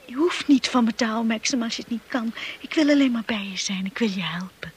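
A woman acting a devoted, anxious young wife in a Dutch radio play, breathy, tender and imploring. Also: fairly high pitch, quick pace. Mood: pleading. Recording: noisy old radio play recording.

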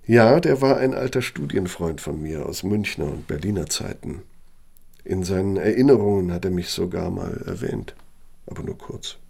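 An actor in a German radio play playing an elderly, self-justifying architect with a gravelly, husky voice and a measured, lecturing manner. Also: male voice, low voice, mellow, exaggerated voice. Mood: aggrieved, dry. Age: older.

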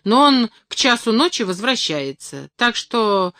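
A woman voicing an excitable, childlike young circus girl in an audiobook, gushing, impulsive and affectionate. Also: a little high, measured pace, no echo, studio quality, pitched above the performer's own voice, exaggerated voice. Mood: gushing, coaxing. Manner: breathless exclamations, affectionate, impulsive.

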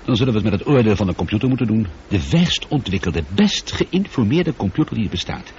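A man playing an excitable, sceptical space traveller in a radio drama, questioning bluntly and with feeling. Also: on the low side, fast. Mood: agitated, intense. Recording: dull, noisy old radio drama recording.

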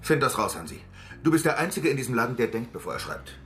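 An actor playing a gruff, commanding newspaper chief, blunt and scornful, in a radio drama. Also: male voice, mid-range voice, big pitch swings, fast, a bit bright, soft background music. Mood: angry, scornful. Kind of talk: German radio drama acting.

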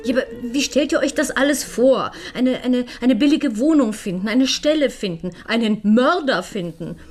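A woman's voice: fairly high pitch, lively intonation, normal speed. An actress playing an anxious, helpless widowed mother in a German radio crime drama, hesitant and plaintive. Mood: fretful, overwhelmed.

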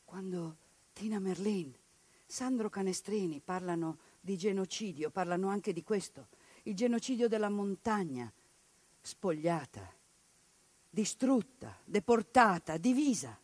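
A woman's voice: mid-range voice, expressive, a little slow, slightly rough, no echo. Impassioned woman performing a theatrical monologue. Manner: impassioned, sharp swings between hushed and forceful delivery. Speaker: actress performing a one-woman play.

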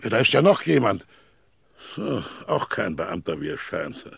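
An actor playing an imperious, irritable company president in a German radio play, blustering and impatient in a gravelly, husky voice. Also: male voice, fairly low pitch, sing-song, measured pace, no echo, exaggerated voice.

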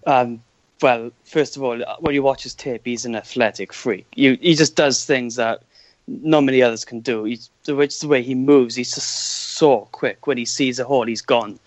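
A man with a Welsh accent, a guest scout on a sports podcast, giving his measured views with hesitant restarts. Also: medium pitch, fairly even intonation, no echo. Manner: measured, hesitant, starts over. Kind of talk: casual podcast chat. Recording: dry, dull lo-fi sound.

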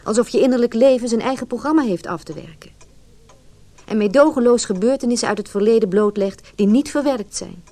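A woman's voice: fairly high pitch, a little fast, mellow, close to an everyday voice. An actress playing a troubled, reflective woman narrating her inner thoughts in a scripted radio drama monologue. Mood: pensive.

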